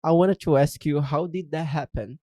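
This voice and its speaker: A man's voice: fairly high pitch, moderate pace, dark tone, no room sound, studio quality. A man hosting a podcast interview, giving short encouraging replies and asking questions. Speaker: podcast host. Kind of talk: interviewing a guest.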